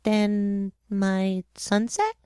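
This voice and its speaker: A woman's voice: medium pitch, fairly even intonation, very slow, mellow, clean tone, dry recording, studio quality. A woman playing an earnest, inquisitive young boy prince in a radio drama, polite and questioning. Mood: earnest, curious. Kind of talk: theatrical radio drama acting.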